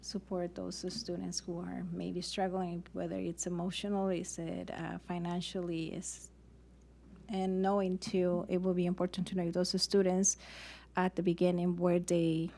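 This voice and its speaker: Female committee member, even-toned and a bit low, feeling her way through a spontaneous question. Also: gravelly, slight background noise. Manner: halting, searching for words.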